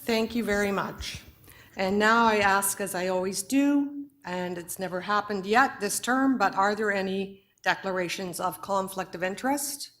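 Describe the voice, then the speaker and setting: Hoarse woman chairing a meeting. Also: mid-range voice, steady tone, fairly bright tone. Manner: measured, procedural, with fillers. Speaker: board chair. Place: council chamber.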